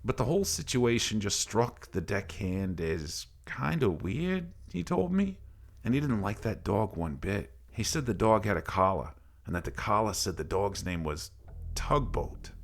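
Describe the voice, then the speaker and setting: Deep gravelly man telling creepy stories. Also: big pitch swings, measured pace. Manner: salty, colloquial barroom yarn delivery with swearing. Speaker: horror story narrator. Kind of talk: dramatic first-person storytelling of a creepy tale. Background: faint ambient background music.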